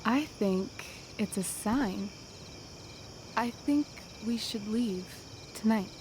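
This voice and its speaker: A woman's voice: mid-range voice, slow, noisy background, natural voice. A woman acting a bold, impulsive teenage girl in a video game, dreamy and persuasive, in a naturalistic style. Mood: earnest, determined.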